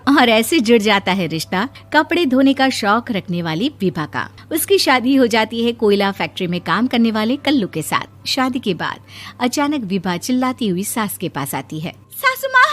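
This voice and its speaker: A woman's voice: a little high, animated, moderate pace. A woman giving scripted narration of a comic family story.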